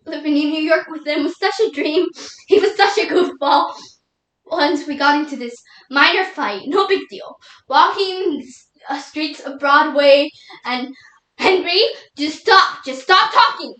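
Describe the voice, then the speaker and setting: A woman performs a young widow lost in grief and doubt. Her voice is high and emotional, with halting, pleading delivery. It is a solo dramatic monologue, heard in a reverberant room. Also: a bit bright. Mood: wistful reminiscing. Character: grieving young widow, tearful and doubting God. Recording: echoey room.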